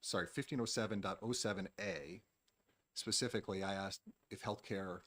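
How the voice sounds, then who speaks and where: A man's voice: sits low, fairly even intonation, moderate pace, a bit bright. A man, a respiratory therapist, giving public testimony at a hearing in an earnest, questioning, slightly rambling way. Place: legislative committee hearing room.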